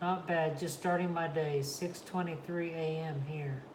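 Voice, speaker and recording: A man from the Kansas, Oklahoma and Missouri area with a Midwestern American accent, hosting a relaxed livestream from home and answering viewers' chat. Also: a little high, measured pace, background noise. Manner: laid-back, reads and answers live chat. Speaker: reptile channel host. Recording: echoey room.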